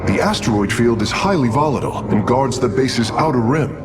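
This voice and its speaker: A man's voice: mid-range voice, lively intonation, a little fast, close to an everyday voice. A man voicing an earnest alien engineer in a video game, formal and precise. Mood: analytical. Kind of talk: dramatic game cutscene acting. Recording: loud music behind the voice.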